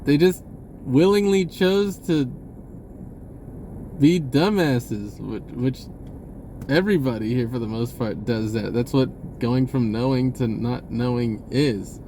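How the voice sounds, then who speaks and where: A man giving a rambling, casual spiritual monologue in a halting, informal and blunt way, swearing at times. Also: mid-range voice, mellow, a little hiss or hum. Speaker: vlogger speaking a personal message.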